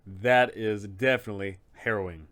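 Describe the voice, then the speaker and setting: A man's voice: fairly low pitch, normal speed, a bit bright. A man hosting an informal, explanatory guide for game masters, emphatic and opinionated in how he talks. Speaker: tabletop game advice host.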